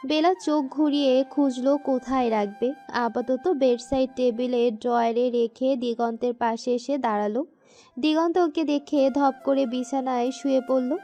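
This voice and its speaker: A woman's voice: high-pitched, fairly even intonation, normal speed, dark tone, soft background music. A woman narrating a scripted romantic story.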